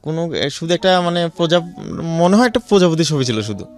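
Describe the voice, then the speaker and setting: A man with a Bangladeshi accent and a somewhat high voice tells a personal story in an informal interview style. He repeats phrases and restarts as he goes, checking in with his listener. Soft music plays behind him. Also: sing-song. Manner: repetitive, halting telling with frequent check-in questions. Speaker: guest telling his life story. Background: background music.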